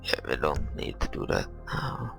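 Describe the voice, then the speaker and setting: A man giving casual gameplay commentary, thinking aloud in a hesitant, grumbling, husky and gravelly way. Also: a bass voice, huge pitch swings, measured pace, music in the background. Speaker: gamer recording gameplay commentary.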